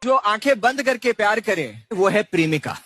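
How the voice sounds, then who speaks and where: A man performing stage comedy, delivering measured one-liners with a set-up and punchline. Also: sits high, expressive, sharp tone. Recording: noisy hall with crowd behind.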